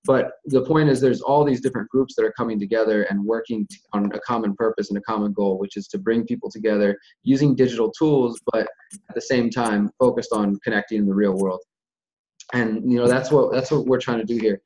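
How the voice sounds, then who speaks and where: Dull, lo-fi conference call audio carries the low voice of an American man. He hosts the call in an informal, conversational way, explaining his points step by step with everyday examples. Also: steady tone, a little fast, darkish tone. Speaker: host of a community organizing conference call.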